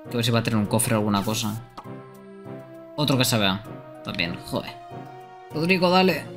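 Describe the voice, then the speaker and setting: Young man, mid-pitched, casual game streamer, live commentary, prominent music behind. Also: big pitch swings, moderate pace. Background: loud game music.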